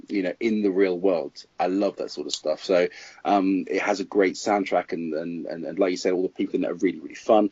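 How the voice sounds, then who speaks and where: A man's voice: sits low, a little mellow, breathy edge, no echo. A man speaking as a film critic guest on a casual podcast, giving emphatic, opinionated verdicts. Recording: dull lo-fi podcast audio.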